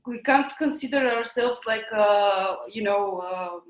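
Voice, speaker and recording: A woman's voice: medium pitch, measured pace. A woman from Bulgaria with a Bulgarian accent, a native Bulgarian speaker, chatting casually as an interview guest in a roomy recording. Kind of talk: casual chat. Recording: roomy, echoey sound.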